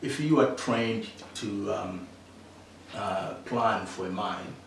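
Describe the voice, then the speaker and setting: A man's voice: on the low side, slow, vocal fry, a bit bright. A middle-aged man from Tanzania answering interview questions about his engineering career, with many fillers and restarts. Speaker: interview guest, mining engineer. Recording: echoey room, distant mic, faint noise.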